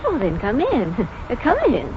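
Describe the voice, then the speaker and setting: A woman's voice: medium pitch, huge pitch swings, measured pace, background noise. An actress in a 1940s radio drama playing a kindly, fussy old grandmother with a homespun, chiding manner. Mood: kindly, hospitable. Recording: muffled vintage radio recording, music behind.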